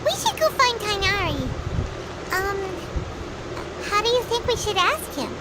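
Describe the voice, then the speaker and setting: A woman voicing a tiny, excitable female fairy companion who talks about herself in the third person. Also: very high voice, a little slow, heavy background noise, heavily acted. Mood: eager. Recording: game audio with loud music. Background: loud game music.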